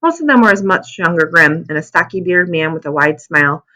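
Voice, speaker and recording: A woman narrating a children's book aloud as an expressive storyteller. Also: fairly low pitch, fairly quick.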